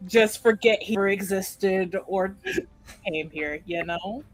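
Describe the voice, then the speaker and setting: A woman's voice: mid-range voice, unhurried. A woman chatting casually as a tabletop game player, with a blunt, sweary way of talking. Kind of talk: casual chat.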